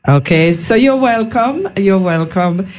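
A woman hosting a radio panel in the studio, unhurried and full of fillers. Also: mid-range voice, lively intonation, darkish tone. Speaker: radio host.

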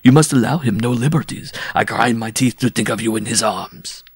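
A man's voice: mid-range voice, brisk, breathy edge, dry recording, studio quality. A man voicing a swaggering, mocking bareback rider in a storybook reading, florid and conspiratorial with his lover. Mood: gallant, mocking.

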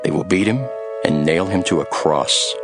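A man playing a calm, authoritative religious teacher in a solemn, declarative way, in a dramatized scripture recording. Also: low voice, normal speed, over music. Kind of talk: dramatized Bible audio.

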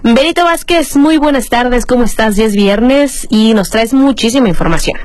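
A woman's voice: fairly high pitch, lively intonation, moderate pace, a little mellow, a little hiss or hum. A woman with a Mexican Spanish accent and a stuffy nose from a cold, hosting a casual radio show. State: congested from a cold. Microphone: studio microphone.

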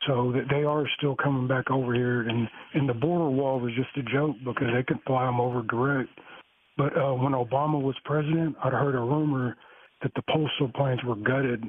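A man rambles through a call-in, stumbling over restarts and fillers in a fairly flat delivery. His voice is a little husky and comes through a tinny phone line. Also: medium pitch. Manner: halting, many restarts and fillers. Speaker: caller.

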